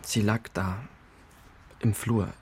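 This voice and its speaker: Nervous young violin student, hesitant, stammering, lowish voice, radio crime drama. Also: male voice, steady tone, takes it slowly, a little mellow, slight background noise. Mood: uneasy. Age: young adult.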